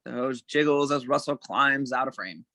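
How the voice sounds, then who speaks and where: A narrator with a middle-pitched voice reads stage directions from a screenplay. The sound is close and dry, and the delivery is that of an informal table read. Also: moderate pace, pushed and bright, no echo.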